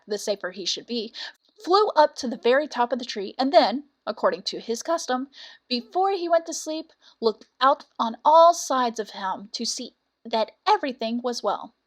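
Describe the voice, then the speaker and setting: A woman's voice: on the high side, fairly bright tone. A woman reading a fairy tale as a storyteller, in scripted narration.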